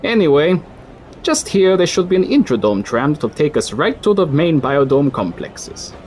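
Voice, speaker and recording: An actor playing a courteous, apologetic city coordinator who turns quietly menacing, in an audio drama. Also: male voice, on the high side, very animated, normal speed, slight background noise. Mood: friendly. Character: polite but sinister city coordinator man. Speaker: coordinator of a recycling facility.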